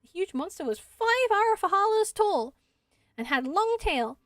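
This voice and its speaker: A woman's voice: very high voice, very animated, moderate pace, a bit bright, no echo, clean studio sound, pitched above the performer's own voice, heavily acted. A woman voicing a timid, dreamy little forest spirit for a video game, hesitant and rambling in a wide-eyed way. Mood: wistful, earnest.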